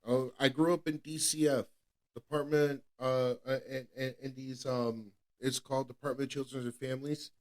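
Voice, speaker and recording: A man's voice: mid-range voice, little pitch movement, normal speed, a little mellow. A man in his thirties giving a candid personal testimony as a podcast co-host, earnest and repetitive, often restarting his sentences. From New England. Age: 30s.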